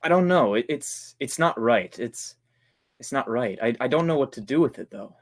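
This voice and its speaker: An actor playing an anxious radio performer at rehearsal in an old-time radio drama, unsure and seeking approval. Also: male voice, mid-range voice, animated, normal speed, lightly acted. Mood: uncertain.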